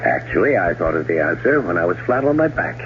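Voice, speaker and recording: An actor playing a cold-blooded, middle-aged scheming brother in a 1970s radio drama, suave, sardonic and deliberate. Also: male voice, sits low, sing-song. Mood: coolly menacing. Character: scheming, cold-blooded older brother plotting his wife's murder. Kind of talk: 1970s radio drama acting and first-person narration. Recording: dull, noisy old radio broadcast recording.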